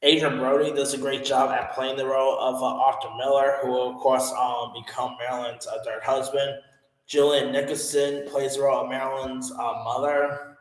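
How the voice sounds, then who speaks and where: A man's voice: mid-range voice, level tone, moderate pace. A man giving a casual, rambling film review, full of fillers and restarts. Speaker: movie reviewer. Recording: echoey room with long reverb.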